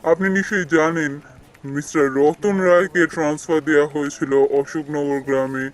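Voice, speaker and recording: A man plays an office boss in an animated story. He is formal and businesslike, giving orders with authority in a moderately high male voice. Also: a little fast, close to an everyday voice. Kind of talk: animated cartoon story acting.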